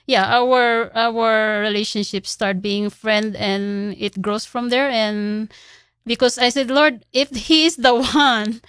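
A woman in her sixties speaks as a guest in a radio interview, her voice sitting fairly high. She tells her story earnestly and laughs often. The mic sound is close and dry. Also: fairly even intonation, slow, a bit bright, clean tone, no room sound. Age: 60s. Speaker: charity CEO, guest.

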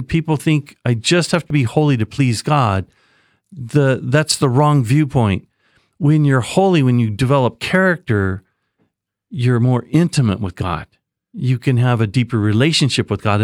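A man in the role of a pastor explains his teaching with confidence and emphasis, often checking in with the listener. His voice sits at an ordinary pitch and the sound is dry, with no echo. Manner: confident, emphatic, asks "right?". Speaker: pastor and radio host. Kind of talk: radio teaching.

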